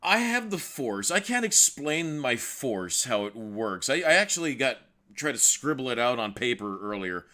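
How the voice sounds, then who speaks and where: Bright, stammering man hosting a chat. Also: mid-range voice, moderate pace, hardly any pauses. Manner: excitable, stammering, gushing. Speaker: host. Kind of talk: casual chat.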